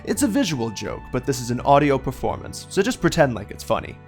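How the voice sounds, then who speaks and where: A man's voice: mid-range voice, brisk, lightly acted. A man playing the wry narrator of a comic detective audio play, mocking and self-aware in his delivery. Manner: sardonic, self-aware, animated. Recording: faint guitar music behind.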